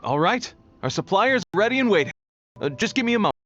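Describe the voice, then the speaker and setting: An eager, expressive young shopkeeper. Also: male voice, high voice, fast. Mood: pleased. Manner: earnest, upbeat. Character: eager, earnest, humble young male festival shopkeeper. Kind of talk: video game voice acting. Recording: slightly dull audio over music.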